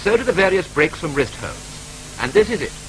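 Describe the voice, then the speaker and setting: A man with a British accent narrating a 1940s training film in a brisk, clipped, wryly matter-of-fact manner, from an old film soundtrack. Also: fairly high pitch, sing-song, fairly bright tone, slight background noise, poor audio.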